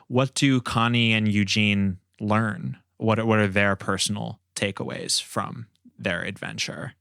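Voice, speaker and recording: A man with a lowish, clean voice hosts a podcast discussion. He feels his way through sentences conversationally, recorded dry and close with no room sound. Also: a bit bright, clean tone, dry recording. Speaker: podcast host.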